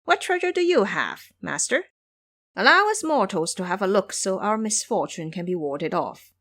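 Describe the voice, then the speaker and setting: A woman's voice: mid-range voice, sing-song, moderate pace, a bit bright, no room sound, clean studio sound. A woman voicing a gullible, eager male imp servant in an audio storybook.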